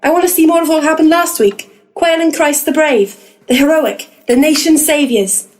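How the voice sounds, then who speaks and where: A woman playing a keen but exasperated young assistant to ghost hunters in a comic audio drama, brisk and indignant. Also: high-pitched, lightly acted. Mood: cheerful exasperation.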